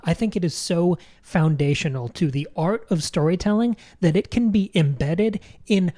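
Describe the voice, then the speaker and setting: A woman's voice: on the low side, normal speed, warm tone. A woman co-hosting a podcast, talking through film analysis in an articulate, emphatic way with literary phrasing. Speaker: podcast co-host.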